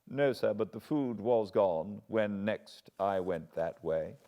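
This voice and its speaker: A man's voice: fairly low pitch, animated, takes it slowly, lo-fi sound, pitched above the performer's own voice. An English man voicing an anxious, earnest older butler who pleads and frets. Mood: guarded. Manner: agitated, pleading.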